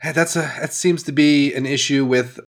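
A man's voice: a little high, steady tone, normal speed. Nasal man hosting a sports podcast. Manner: upbeat, chatty, laughs easily. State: nasal, recovering from a cold. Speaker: podcast host. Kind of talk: casual sports podcast chat.

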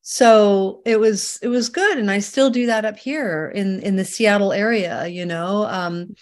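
A middle-aged woman from Canada, a holistic healer answering interview questions in an earnest, emphatic way that sometimes slows down to make a point. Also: medium pitch, darkish tone.

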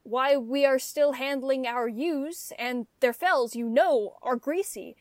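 A woman's voice: high voice, takes it slowly, darkish tone, no echo. A woman playing an honest, contented, plain-spoken male shepherd in a Shakespearean radio play. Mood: puzzled, defensive.

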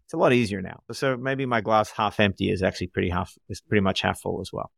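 A man's voice: on the low side, fast, creaky, a bit bright, no echo, studio quality. A man co-hosting a casual panel discussion, speaking candidly and reflectively. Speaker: podcast co-host.

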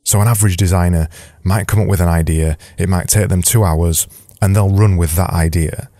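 Deep-voiced Yorkshire man, dry close sound. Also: fairly even intonation, a little fast, mellow, dry recording. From Yorkshire, England. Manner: emphatic, repeats key points for stress. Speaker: podcast host, designer giving career advice. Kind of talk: informal solo advice talk.

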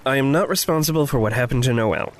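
An actor playing an evasive, dramatic man in distress in an audio drama, with stilted formal phrasing that turns halting. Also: mid-range voice, close to an everyday voice. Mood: guarded, deadpan. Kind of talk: fiction podcast acting, naturalistic dialogue.

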